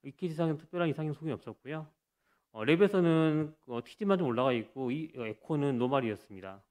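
A man's voice: a little high, lively intonation, fast, a little mellow. Man hesitantly presenting a medical case. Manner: hesitant, many fillers and restarts. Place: conference hall stage. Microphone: podium microphone.

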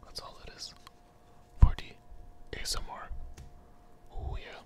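A person whispering an ASMR candy review close to the microphone, hesitant and often repeating phrases. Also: slow pace.

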